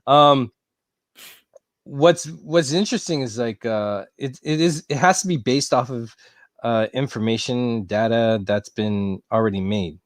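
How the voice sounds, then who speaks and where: A man hosting a podcast in casual chat, excitable and quick to exclaim. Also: medium pitch, no echo, clean studio sound. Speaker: podcast host.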